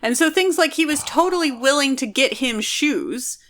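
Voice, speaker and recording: A woman's voice: sits high, expressive, normal speed, fairly bright tone. A woman co-hosting a podcast, talking through a novel in a casual, analytical way and explaining her reasoning at length. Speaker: podcast co-host discussing a novel. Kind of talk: casual analytical book discussion.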